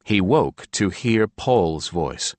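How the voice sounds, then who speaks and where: A man's voice: sits low, animated, slow, dry recording. A man narrating a fantasy audiobook in a scripted storytelling style.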